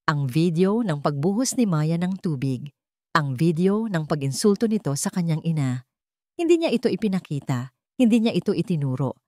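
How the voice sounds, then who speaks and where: A woman storyteller reading a scripted drama in deliberate, short dramatic phrases. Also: low-pitched, very animated, mellow, no echo, studio quality.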